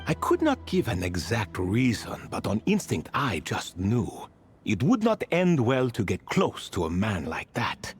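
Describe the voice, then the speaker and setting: A man's voice: lowish voice, wildly swooping pitch, a little slow, faint music behind. An actor playing an ancient ibis king spirit in a video game, courteous and wistful, with a theatrical, leisurely storytelling manner. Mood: grave, reflective.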